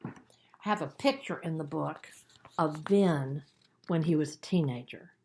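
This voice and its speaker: Woman reading a kids book aloud, lowish voice, expressive storyteller, leans on key words. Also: measured pace, a little hiss or hum. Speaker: author reading own book. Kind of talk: children's audiobook narration.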